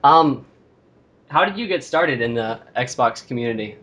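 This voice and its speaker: Teen boy, interviewer, friendly, casual questions, mid pitch. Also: expressive, measured pace, fairly bright tone.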